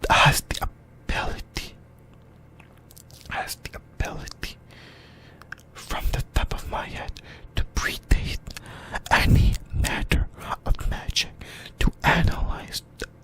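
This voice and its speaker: This is an ASMR host reading an explainer in a breathy whisper. The pace is very slow and halting, with phrases often repeated or corrected along the way. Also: low-quality recording. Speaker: host of an anime ASMR channel.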